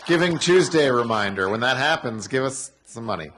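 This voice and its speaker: A man's voice: mid-range voice, animated, normal speed, a bit bright. A man emceeing an awards night, upbeat and loose, ad-libbing with lots of fillers. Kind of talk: event emceeing. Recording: faint crowd noise behind.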